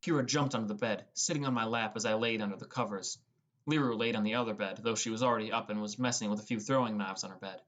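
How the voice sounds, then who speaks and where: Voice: mid-range voice, quick pace, fairly bright tone. An audiobook narrator reading a scripted first-person fantasy story.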